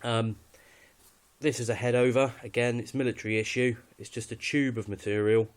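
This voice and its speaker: A man's voice: medium pitch, fairly even intonation, moderate pace. A British man giving a casual, unscripted walkthrough of his kit in a matter-of-fact, slightly hesitant way. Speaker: hobbyist presenter showing radio kit.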